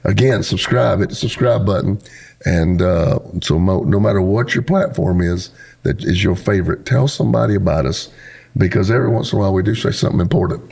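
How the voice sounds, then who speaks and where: A middle-aged pastor, hoarse, hosting a casual talk show in an earnest, preacherly way. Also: male voice, deep voice, a little fast, darkish tone. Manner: earnest and preacherly, with homespun sayings. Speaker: pastor and podcast host. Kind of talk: casual conversation, closing a podcast episode.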